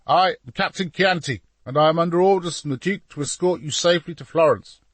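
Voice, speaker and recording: A man's voice: on the high side, fairly quick, dry recording, pitched above the performer's own voice, lightly acted. Man as an earnest, firm young captain. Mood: firm, formal.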